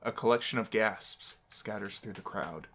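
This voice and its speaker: A man narrating a visual novel aloud as a gaming host, with expressive, theatrical storytelling. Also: fairly low pitch, normal speed.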